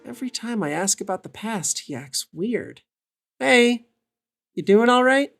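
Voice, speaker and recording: A woman's voice: fairly high pitch, lively intonation, unhurried, vocal fry, soft background music. A woman playing a wary, caring and easily exasperated male adventurer in an audio drama. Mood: gently concerned.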